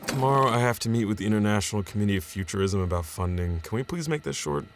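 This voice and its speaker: An actor playing a tired, skeptical scientist colleague in a naturalistic radio drama. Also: male voice, on the low side, quick pace, slight background noise, close to an everyday voice. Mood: weary, impatient.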